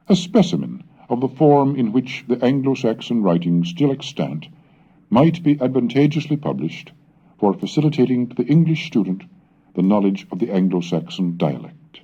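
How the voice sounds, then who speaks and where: Dark husky man, old cassette reading. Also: medium pitch. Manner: measured, deliberate, slows and lifts for the old tongue. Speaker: scholarly reader. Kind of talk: formal recorded reading with Old English recitation. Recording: dull lo-fi 1970s cassette recording.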